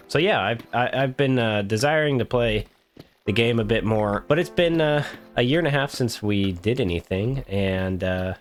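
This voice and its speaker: A man hosting his own gaming video, casually narrating while he builds, his voice congested from a cold. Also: on the low side. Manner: easygoing, rambling, talks while building. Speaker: gaming channel host. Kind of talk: casual gameplay commentary.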